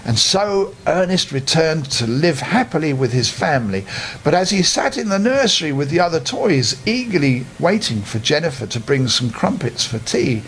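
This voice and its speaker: An older man narrates a children's bedtime story in a rather high voice. His intonation is lively and expressive, and he talks straight to the young listeners. Some noise runs in the background. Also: normal speed.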